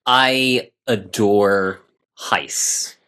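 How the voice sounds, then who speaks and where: A man's voice: on the low side, drawn-out words, bright, forceful tone, clean tone. A man co-hosting a casual podcast discussion, articulate and appreciative in how he talks.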